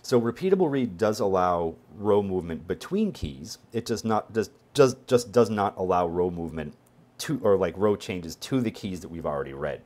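Very deep-voiced man teaching. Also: expressive, normal speed, darkish tone. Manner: informal, conversational, thinking aloud while demonstrating. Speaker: instructor, database consultant presenting a tutorial. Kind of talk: casual screen-share technical lesson.

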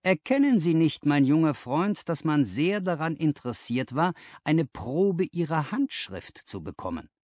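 A man voicing a confident, analytical gentleman detective who lectures emphatically in an audiobook, posing rhetorical questions. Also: on the high side, very animated, measured pace, hardly any pauses, no echo, clean studio sound. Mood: instructive. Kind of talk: audiobook character dialogue.

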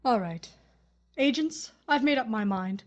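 A wronged widow in an audio drama played by a woman, with a slightly high voice. She is earnest and questioning, firm yet torn. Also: lively intonation, a little slow, dull audio. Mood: resolved, polite. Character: grieving, wronged widow, mother of grown children, firm but torn.

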